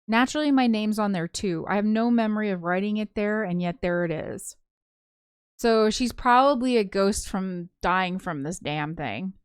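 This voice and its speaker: A woman's voice: mid-range voice, normal speed, smooth, no room sound, clean studio sound. A woman reading a visual novel aloud, slipping into casual, chatty commentary with giggly asides. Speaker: co-host of a gaming show.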